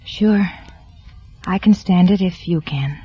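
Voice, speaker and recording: A supportive, stoic wife in a 1940s radio drama. She speaks slowly in a low, husky voice on a dull old recording. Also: soft background music, full character voice. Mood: steady, resigned.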